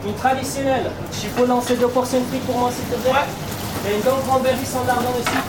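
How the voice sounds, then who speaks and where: A man working in a restaurant, calling out food orders in a brisk manner. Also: very high-pitched, steady tone. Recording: echoey kitchen with loud music.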